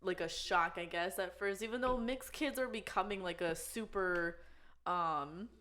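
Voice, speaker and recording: A woman's voice: fairly low pitch, unhurried, a bit bright. A woman on a casual podcast, talking through her experiences thoughtfully and hesitantly, with many "like" fillers. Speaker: podcast co-host. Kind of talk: casual chat.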